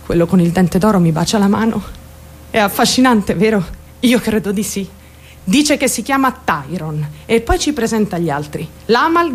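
A woman's voice: mid-range voice, close to an everyday voice. An actress playing a troubled, defiant teenage girl who confides her story straight to the listener in a theatrical radio monologue. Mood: confiding, wry. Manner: confiding, addressing listeners directly, mimicking other voices.

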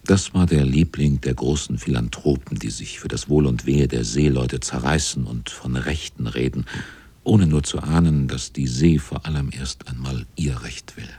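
An actor narrating a radio play as a reflective seaman, in a dark, husky, measured voice. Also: extremely low pitch, no room sound, exaggerated voice. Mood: reflective, wry. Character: reflective seaman recounting his shipmates' voyage.

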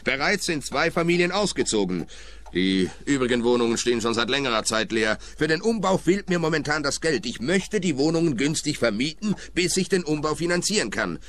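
A brusque, matter-of-fact property investor in a radio play, waving off talk of ghosts with curt impatience. His voice sits a little higher than most men's. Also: very animated, fairly quick, a bit bright. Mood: brisk.

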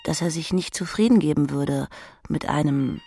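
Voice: medium pitch, moderate pace, gravelly, mellow. A narrator reading literary prose in the second person, speaking intimately to the listener.

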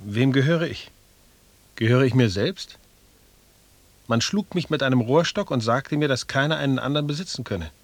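A man's voice: mid-range voice, lively intonation, normal speed, long pauses, no room sound. An actor playing a brooding, earnest man who ponders his questions in a radio play. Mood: troubled, pondering. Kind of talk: 1980s German radio play acting.

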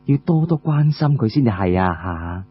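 A man voicing a kindly elderly matriarch who dotes on the young, slow and coaxing, with many pauses. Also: lowish voice, sing-song, highs cut off, a little hiss or hum, pitched below the performer's own voice. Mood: tender, doting.

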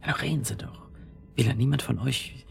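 A man's voice: mid-range voice, moderate pace, creaky, faint music behind. An actor in a radio drama playing a sceptical, uneasy married city man with a dismissive, impatient manner. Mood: uneasy, hushed. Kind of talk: naturalistic German radio drama acting.

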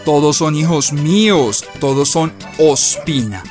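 Emphatic Colombian husband, faint music. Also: male voice, fairly high pitch, expressive, takes it slowly, darkish tone. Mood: proud, generous. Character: Colombian working man, grumbling yet proudly generous husband. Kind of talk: radio drama acting.

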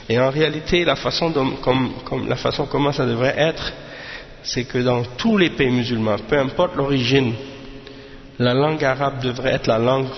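Guy teaching religion, kinda halting, lots of restarts, dull muffled recording. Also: mid-range voice, gravelly, in a large hall. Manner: hesitant, with many restarts and Arabic fillers. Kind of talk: informal religious lesson.

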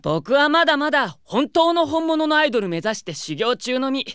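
A woman's voice: high-pitched, big pitch swings, normal speed, fairly bright tone, no echo, studio quality. A woman voicing a proud, haughty boy who dreams of being an idol, in a Japanese audio drama with curt boyish speech. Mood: gruff, softened. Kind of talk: Japanese anime-style audio drama acting.

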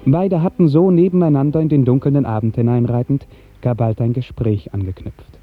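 A man narrating a literary radio drama in a measured, lyrical 1950s storytelling style. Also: mid-range voice, faint music behind. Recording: muffled old 1950s radio recording.

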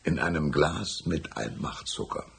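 Tiefer, knarziger, schlauer alter Gesetzeshüter. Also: male voice, extremely low pitch, expressive, heavily acted. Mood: probing, calm. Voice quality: gravelly, husky. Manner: drawn-out, patronizing, calls listener "my son". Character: folksy, shrewd, unhurried older small-town lawman. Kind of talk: radio drama acting.

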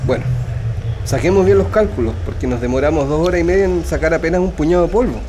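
An actor playing a resourceful, determined political prisoner with a Chilean accent, plain-spoken and colloquial in a radio drama. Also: male voice, fairly high pitch, fast, background noise, natural voice. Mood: practical.

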